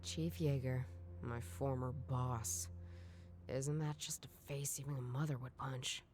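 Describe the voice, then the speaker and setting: A woman playing a jaded, sardonic detective in a noir video game, musing in a dry, weary deadpan. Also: a bass voice, unhurried, background noise. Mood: wry, weary. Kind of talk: video game voice acting, inner monologue.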